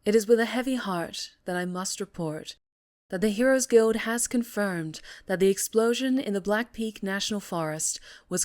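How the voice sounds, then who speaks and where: A woman's voice: fairly low pitch, lightly acted. A woman playing a composed, solemn TV news anchor in an audio drama, speaking formally with dramatic emphasis. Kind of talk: scripted news broadcast acting in audio drama.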